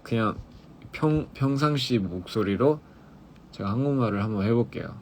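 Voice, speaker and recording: A young male musician chatting casually on a phone livestream, halting and searching for words with frequent restarts. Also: mid-range voice, expressive, slight background noise. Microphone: phone livestream mic.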